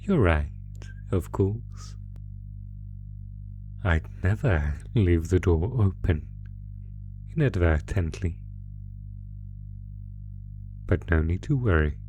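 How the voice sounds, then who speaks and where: Sadistic monster played by a man, low-pitched and unhurried, taunting a captive with mock courtesy, horror audio drama over music. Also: lively intonation, dark tone, highs cut off. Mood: smug, taunting. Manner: slow, taunting, mock-polite, savoring pauses. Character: sadistic male monster gleefully toying with a captive. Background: musical score.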